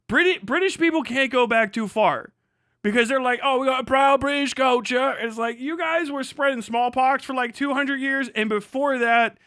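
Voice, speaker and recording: An American man with a foul-mouthed, animated, mocking manner, ranting casually as host of a live stream. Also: very high-pitched, no echo, clean studio sound. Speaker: livestream host.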